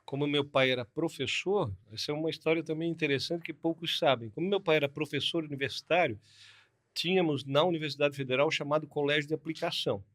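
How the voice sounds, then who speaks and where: A man's voice: on the high side, fast talker, a bit bright. A man in his fifties from Florianópolis with a southern Brazilian accent, a plastic surgeon telling his story in a laid-back, chatty way as a podcast guest. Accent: southern Brazilian Portuguese. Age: 50s. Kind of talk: casual interview storytelling.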